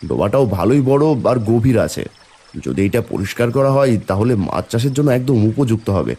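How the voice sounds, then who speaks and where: A man's voice: mid-range voice, sing-song, a little fast, mellow. A man playing an earnest, fretful, hardworking village father in a cartoon family drama. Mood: hopeful, determined.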